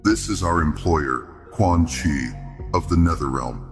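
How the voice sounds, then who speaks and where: A man's voice: very deep voice, unhurried, faint music behind. A man playing the commanding, imperious grandmaster of an assassin clan in an audio drama. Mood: pleased authority. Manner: authoritative, imperious.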